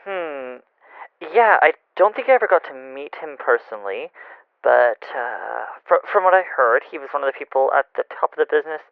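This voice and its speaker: A man's voice: medium pitch, animated, moderate pace, vocal fry, no room sound. A man playing an anxious, rattled coworker on the phone in an audio drama, stammering nervously through a phone filter. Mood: uneasy. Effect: phone or radio filter. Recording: muffled, band-limited phone call effect.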